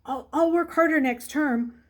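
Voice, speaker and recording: A woman's voice: sits high, unhurried, pitched above the performer's own voice. A woman voicing an anxious schoolboy who speaks hesitantly and keeps asking questions. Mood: nervous.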